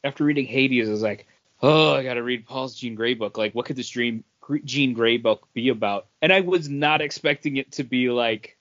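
A man's mid-pitched voice in a dry, slightly dull recording. He chats casually as a podcast co-host, halting and rephrasing as he works through his thoughts. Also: fairly bright tone, no echo. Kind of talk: casual comic book discussion.

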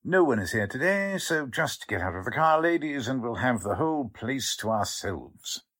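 An actor playing a polite, defensive warehouse manager in a 1940s radio drama, formal and hesitant in manner. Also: male voice, medium pitch, sing-song, moderate pace, fairly bright tone. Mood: courteous, obliging. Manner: courteous, hesitant, fussily formal.

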